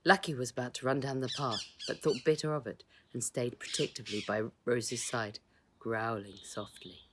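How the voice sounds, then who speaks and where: A woman's voice: extremely low pitch, moderate pace, noisy background. A woman reading a children's storybook aloud as scripted narration, as its author.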